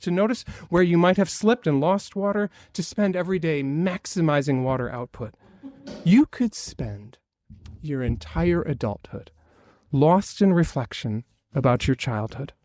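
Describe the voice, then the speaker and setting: A man's voice: fairly high pitch, lively intonation, moderate pace, darkish tone, no room sound. Male minister, conversational sermon, light wry humor, dry pickup. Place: church sanctuary.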